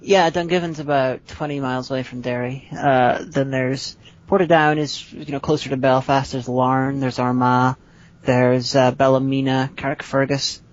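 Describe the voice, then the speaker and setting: A woman, co-hosting a podcast in casual chat and pausing often with "uh". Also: very deep voice, fairly even intonation. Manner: hesitant, fills pauses with "uh". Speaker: podcast co-host. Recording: dull lo-fi podcast audio.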